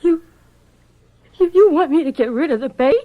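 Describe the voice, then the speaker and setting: An actress playing a distressed, lovelorn young woman in a radio drama, speaking in a halting, pleading, emotional way. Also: sits high, animated, narrow, tinny audio. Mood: hurt, incredulous.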